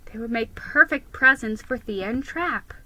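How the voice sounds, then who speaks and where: A woman giving a dramatic, expressive reading of a first-person children's story. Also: on the high side, measured pace, poor audio. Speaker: storybook reader.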